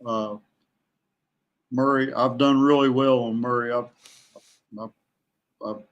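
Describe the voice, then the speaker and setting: A man talking casually as a podcast guest, a veteran angler who haltingly thinks aloud as he goes. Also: mid-range voice, fairly even intonation, dull audio.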